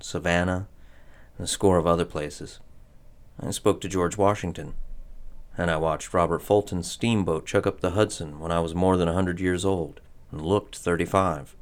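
An actor playing an ageless, henpecked husband of a witch in a radio drama, a wry storyteller who gets flustered around his wife. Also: deep voice. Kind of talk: old-time radio drama narration and acting.

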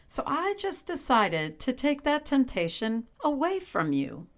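A woman's voice: high-pitched, sing-song, measured pace. An actress playing a possessive, sly witch wife in a radio drama, with a commanding, teasing, coolly menacing manner. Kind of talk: old-time radio drama acting.

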